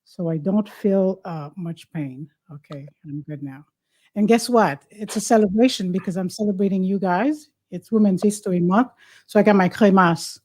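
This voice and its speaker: A woman's voice: medium pitch, moderate pace. A woman, hosting a talk show in a chatty, casual way. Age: 40s. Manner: chatty, warm teasing, laughs often. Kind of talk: casual chat.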